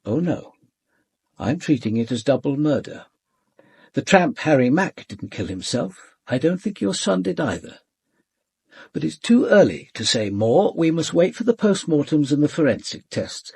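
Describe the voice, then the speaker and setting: Husky British police detective. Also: male voice, on the high side, big pitch swings, a little mellow, no room sound, exaggerated voice. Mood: measured, probing. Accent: British English. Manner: formal, measured, precise questioning. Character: male police commander, measured, probing, reserved yet compassionate detective. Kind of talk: radio drama dialogue and first-person narration.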